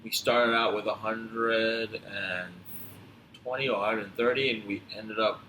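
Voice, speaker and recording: A man with a fairly low, bright voice tells stories casually as a podcast guest. He speaks haltingly and reflectively, circling back over his phrases. The room is echoey around him. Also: steady tone. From born in Tanzania, grew up in upstate New York. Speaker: podcast guest, physical therapist. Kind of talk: casual conversational storytelling. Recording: roomy echo, clear background noise.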